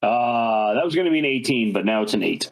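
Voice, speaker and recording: A man's voice: mid-range voice, lively intonation, brisk, gravelly, rough recording. A man chatting casually as a player in a tabletop role-playing game.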